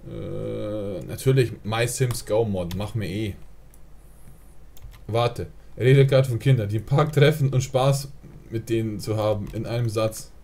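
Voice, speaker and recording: A man's voice: fairly low pitch, measured pace, vocal fry, warm tone, a little hiss or hum. A man, live streaming a life-simulation game, rambling casually and answering chat with lots of fillers. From Germany. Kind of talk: casual gaming livestream chat.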